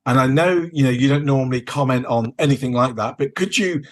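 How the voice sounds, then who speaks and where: A man's voice: medium pitch, a little fast. A man chatting casually as a podcast co-host, hesitant and meandering as he searches for words. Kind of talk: casual chat about films.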